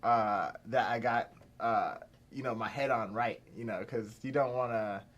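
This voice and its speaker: A man's voice: lowish voice, measured pace, fairly bright tone, dull audio. A young man from Pittsburgh, a filmmaker guest chatting casually in an interview, rambling with lots of fillers and restarts. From Pittsburgh, USA.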